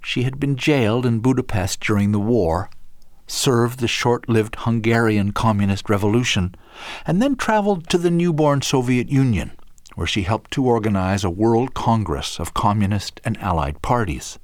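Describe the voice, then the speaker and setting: A man reading scripted documentary narration in a measured storytelling delivery. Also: medium pitch, expressive, no room sound, clean studio sound.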